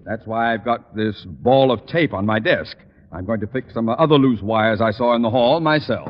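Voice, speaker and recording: An actor playing an ill-tempered, pompous middle-aged school principal in a radio sitcom. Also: male voice, on the low side, normal speed, lightly acted. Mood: grumbling, self-righteous. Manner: pompous, exasperated, imperious. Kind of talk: 1940s-50s radio sitcom acting. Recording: muffled, noisy vintage radio recording, echoey hall.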